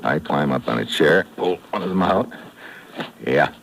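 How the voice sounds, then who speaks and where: A man's voice: a bass voice, animated, normal speed, creaky, a bit bright, exaggerated voice. A man playing a wisecracking American secret agent, narrating in terse hard-boiled first person in a 1950s radio drama. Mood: tense, terse. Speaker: lead actor and narrator. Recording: old broadcast transcription with faint hiss.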